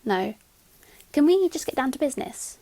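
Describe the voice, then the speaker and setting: A woman's voice: sits high, sing-song, normal speed, dark tone, no room sound. An actress playing a mysterious, impatient visitor in an audio drama, blunt and insistent as she demands answers. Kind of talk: dramatic audio fiction dialogue.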